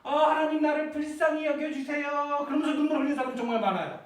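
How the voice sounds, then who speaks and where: A man preaching in a church sanctuary, his voice sitting unusually high. He keeps putting questions to the congregation and climbs into fervent, shouted prayers. The sound is very echoey and comes from some way off the mic. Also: fairly even intonation, quick pace. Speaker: pastor. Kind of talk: sermon. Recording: echoey church hall heard from far off.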